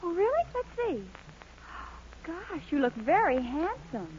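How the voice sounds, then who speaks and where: A faintly hissy, muffled 1940s radio recording of an admiring young girlfriend. Her voice is extremely high, earnest and full of encouragement. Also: big pitch swings, unhurried, a little mellow, heavily acted. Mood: curious, admiring. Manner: earnest, gushing. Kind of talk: 1940s radio comedy acting.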